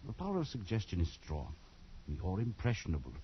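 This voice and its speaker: An actor playing a concerned, sensible English gentleman in an old radio drama, earnest and urging. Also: deep voice, huge pitch swings, a little fast. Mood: worried, reasoning. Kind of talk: 1940s radio drama acting. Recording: muffled, noisy old radio recording.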